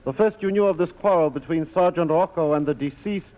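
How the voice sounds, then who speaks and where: An actor playing a courteous, quietly probing police lieutenant in 1940s radio drama style, polite and deliberate. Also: male voice, a little high, long reverb tail, lightly acted, low-quality recording. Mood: politely probing. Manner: polite, deliberate, feigned absent-mindedness.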